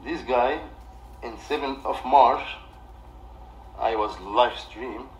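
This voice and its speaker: A man in his thirties with an Egyptian accent, ranting off the cuff on a livestream in a defensive, halting, repetitive way. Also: medium pitch. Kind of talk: off-the-cuff livestream rant to camera. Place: small living room indoors. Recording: distant mic with background noise.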